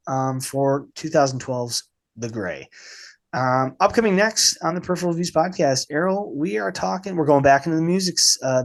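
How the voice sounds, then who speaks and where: A man hosting a casual film podcast, speaking informally and haltingly with a slight cold in his voice. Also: fairly high pitch. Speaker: podcast host.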